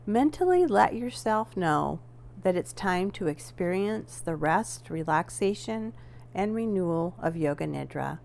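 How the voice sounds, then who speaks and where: A woman leading a guided relaxation as a yoga nidra instructor, giving gentle, measured instructions with deliberate pauses. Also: lowish voice, lively intonation, vocal fry.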